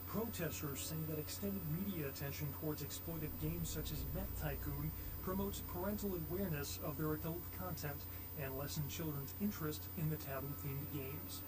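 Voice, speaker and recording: An actor playing a formal male TV newsreader in a video game, reading a scripted report in a measured way. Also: fairly high pitch, close to an everyday voice. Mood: formal, neutral. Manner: formal, measured announcer delivery. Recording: noisy game television broadcast audio.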